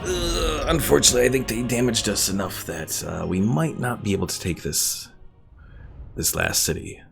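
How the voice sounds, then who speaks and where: A man's voice: on the low side, lively intonation, normal speed, vocal fry. A man giving casual, easygoing commentary while streaming a game, thinking aloud as he plays. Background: game music.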